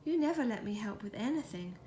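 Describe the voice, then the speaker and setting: A woman voicing a small, wistful and indignant male duck in a storybook, with drawn-out delivery. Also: a little high, lively intonation, mellow, a little hiss or hum, natural voice, poor audio. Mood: wailing, hurt. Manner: murmuring and wailing, drawn-out.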